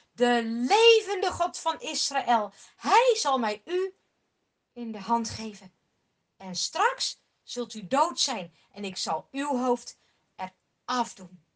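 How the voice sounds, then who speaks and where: A woman voicing a young shepherd who speaks up earnestly and firmly, unafraid, in a high, bright voice. Each word comes out slow and deliberate, like a storybook hero. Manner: earnest, determined. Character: young male shepherd, brave and trusting.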